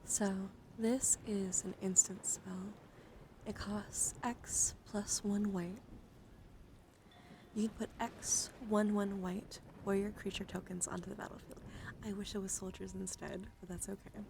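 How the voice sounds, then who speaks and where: A woman hosting an ASMR livestream, talking softly and casually and often slipping into a whisper. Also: medium pitch, flat delivery, a little slow. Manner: soft-spoken, often whispering, repeats soothing words. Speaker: livestream host. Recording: clearly noisy home microphone.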